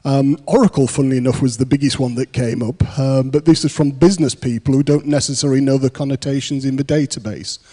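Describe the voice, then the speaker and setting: British man, mellow voice in a hall. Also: medium pitch, steady tone, fast talker, few pauses. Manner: rambling, many fillers and restarts. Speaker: panelist, programme manager. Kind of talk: conference panel answer. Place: large conference hall. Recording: long reverb of a conference hall.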